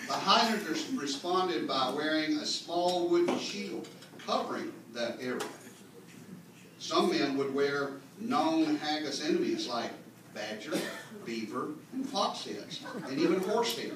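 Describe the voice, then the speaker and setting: A man's voice: a little high, lively intonation, measured pace. A man reading a tongue-in-cheek mock history as a lecture to a gathering, with mock-earnest explaining and folksy asides. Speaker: speaker reading a humorous mock history at a clan meeting. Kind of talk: tongue-in-cheek comic lecture read to an audience. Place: indoor meeting room with live audience. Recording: very echoey room, distant mic, faint noise.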